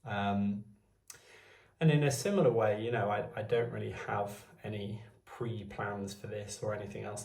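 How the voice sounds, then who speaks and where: Guy in his 20s, gravelly low voice, just rambling thoughts to his phone, roomy echo. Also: a little mellow, distant mic. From Wales. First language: Welsh. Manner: meandering, thinking aloud. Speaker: vlogger. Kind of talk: unscripted musing to camera.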